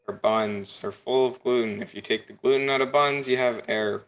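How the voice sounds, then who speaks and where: A man's voice: medium pitch, fairly even intonation, normal speed, fairly bright tone, lightly acted. An actor playing a curt, sarcastic male hot dog stand worker in a sitcom table read on a video call.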